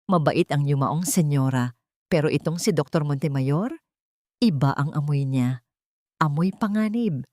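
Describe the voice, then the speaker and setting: A woman voicing a serious male family chauffeur in an audiobook drama, speaking low and confiding. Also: lively intonation, moderate pace, long pauses, mellow, dry recording, clean studio sound.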